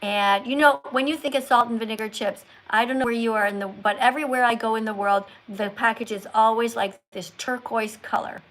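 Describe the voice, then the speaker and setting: A woman's voice: a little high, steady tone, fairly quick, few pauses, a bit bright, rough recording. A woman hosting a live call-in stream, talking in a didactic way with short emphatic statements and probing questions. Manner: probing, presses callers with follow-up questions. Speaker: livestream host. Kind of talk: live call-in chat about veganism.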